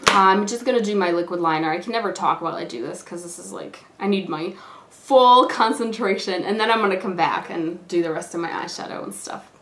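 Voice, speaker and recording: A hoarse, stuffed-up young woman who is sick with a cold, chatting casually and rambling with lots of fillers while doing her makeup. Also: lowish voice, fairly quick, fairly bright tone, slight background noise. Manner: rambling, filler-heavy, lots of "like" and "you know". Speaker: beauty vlogger. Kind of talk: casual chatty get-ready-with-me talk. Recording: echoey room.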